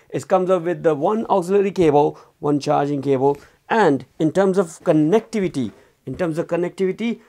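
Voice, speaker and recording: A man's voice: fairly high pitch, warm tone. A man presenting a casual product review, talking informally in loose phrases and often repeating himself. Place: indoors, small room at a desk.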